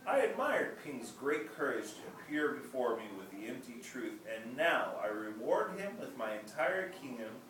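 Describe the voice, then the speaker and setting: A man acts out a very old storybook emperor, stately, kindly and commanding. He declaims loudly in a very echoey room, some way from the mic, with noise behind. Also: mid-range voice, sing-song, normal speed, few pauses, distant mic. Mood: exultant, proud.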